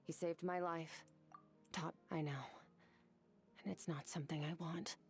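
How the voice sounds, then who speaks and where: An actress voicing a composed, solemn young warrior woman in a video game, speaking in a measured, restrained way. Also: low voice, fairly even intonation. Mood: grave, reflective. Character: composed, solemn young female warrior, disciple of feared master. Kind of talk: video game voice acting, dramatic monologue. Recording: music playing under the voice.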